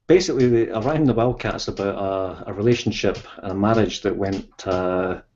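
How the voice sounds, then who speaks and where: A man's voice: low voice, a little mellow, dull audio, slight background noise. A Scottish man in his fifties, an author chatting as a guest in a casual interview, rambling with many ums and restarts. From Scotland.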